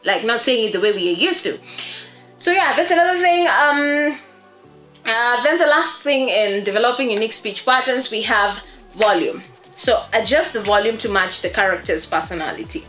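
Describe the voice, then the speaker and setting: Bright-voiced woman teaching in a roomy space. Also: a little high, animated, moderate pace. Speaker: voice acting instructor. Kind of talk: explanatory lesson on creating character voices. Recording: roomy echo, faint music behind.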